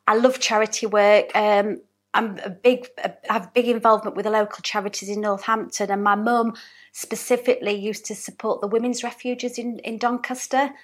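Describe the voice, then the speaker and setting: A woman with a Yorkshire accent, a business owner telling her life story as an interview guest in a chatty storytelling manner. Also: fairly high pitch. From South Yorkshire, England. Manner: chatty, warm storytelling with frequent ums. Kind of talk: relaxed interview conversation.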